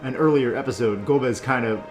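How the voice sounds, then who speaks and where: A man's voice: medium pitch, fairly quick, faint music behind. A man co-hosting a gaming video, casually explaining and discussing cutscenes in informal language. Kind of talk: casual chat commentary on game cutscenes.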